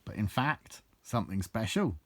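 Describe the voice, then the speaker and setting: A man's voice: fairly low pitch, animated, normal speed, fairly bright tone, no echo. A man reciting his own rhyming life story in a theatrical, blunt, sweary storytelling manner. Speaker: author reading own book. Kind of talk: recited rhyming autobiographical verse.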